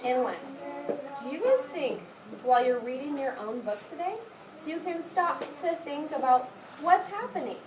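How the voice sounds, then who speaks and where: A woman's voice: high voice, expressive, moderate pace. A woman teaching young children in a classroom, giving short, directive instructions and praise. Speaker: elementary school teacher. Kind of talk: classroom read-aloud lesson addressed to young children. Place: indoor classroom. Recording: roomy, distant classroom recording with clear background noise.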